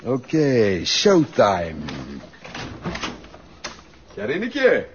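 Sadistic Flemish male kidnapper, widely swinging pitch. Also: wildly swooping pitch, extremely slow, heavily acted. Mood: smug. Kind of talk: Dutch-language radio drama acting. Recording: dull lo-fi audio with faint noise.

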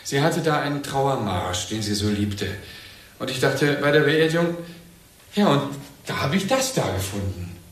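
An actor playing a bereaved, agitated husband in a German radio play, probing and insinuating in halting, pointed phrases. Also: male voice, medium pitch, very animated, natural voice. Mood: troubled, halting. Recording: roomy, echoing radio drama recording.

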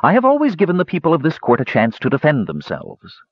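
A man's voice: fairly high pitch, huge pitch swings, quick pace, husky, no echo, pitched above the performer's own voice, heavily acted. A man voicing a cruel, smiling woman warlord who passes judgment in a cold, mocking, commanding way. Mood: sneering. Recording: dull lo-fi recording.

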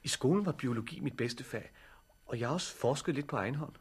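An actor playing an earnest, curious teenage boy who loves botany, in 1960s radio drama style, with a husky voice. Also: medium pitch, lively intonation. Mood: nervous, eager.

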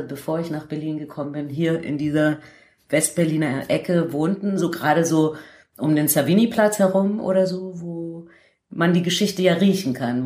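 A woman's voice: low-pitched, darkish tone. A woman speaking as a radio show host in a conversational interview.

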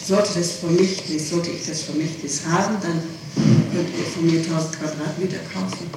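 A woman's voice: fairly low pitch, steady tone, moderate pace. A woman answering questions in an interrogation, repeating and rephrasing as she goes, on an old 1960s tape recording. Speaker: person being questioned in an interrogation. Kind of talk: interrogation testimony, spontaneous answers. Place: echoey room, recorded from a distance. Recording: noisy, echoey 1960s tape, distant microphone.

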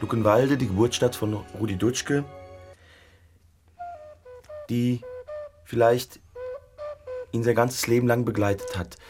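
A man's voice: mid-range voice, fairly even intonation, slow pace, pauses often. A man hosting and interviewing in an earnest, probing way, searching for his words as he improvises. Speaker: host and interviewer of a radio play. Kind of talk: halting, improvised interviewing and presenting. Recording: loud music over the voice. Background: loud music.

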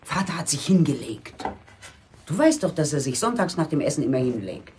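A worried middle-aged mother in a radio drama. Her low voice swings widely as she fusses and reproaches in an exasperated, nagging way. There is faint noise on the recording. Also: very animated, a little fast. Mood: fussing, reproachful. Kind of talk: German radio play acting.

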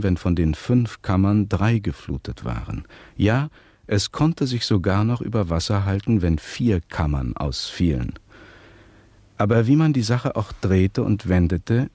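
A man playing a formal, measured chronicler, narrating a scripted radio play with rhetorical emphasis. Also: low-pitched, a little mellow, no room sound, heavily acted. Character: formal, deliberate male chronicler of a shipwreck.

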